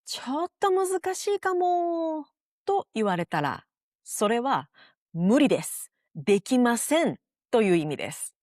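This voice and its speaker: Woman, Japanese accent, language teacher, scripted explanatory delivery, animated intonation, dry recording. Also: fairly high pitch, fairly quick, no room sound. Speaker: language teacher and show host.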